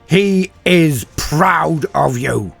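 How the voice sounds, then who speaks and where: Male narrator as old battlefield commander: proud, stirring oratory, raised pitch, slightly husky, for a dramatic war tale. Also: lively intonation, extremely slow, faint music behind, full character voice. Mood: proud, rousing. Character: proud rousing old military commander rallying his troops. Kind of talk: dramatic audiobook speech.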